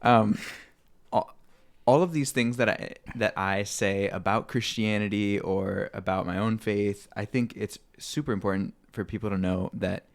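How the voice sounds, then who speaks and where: A man in his twenties, a podcast guest, talking earnestly and self-deprecatingly with halting fillers. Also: lowish voice, fairly even intonation. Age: 20s. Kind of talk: casual chat.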